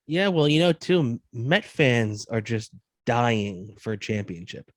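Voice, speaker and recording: A man's voice: mid-range voice, slow pace, no room sound, studio quality. A man in his thirties co-hosting a sports podcast, talking casually and laying out his opinions. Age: 30s.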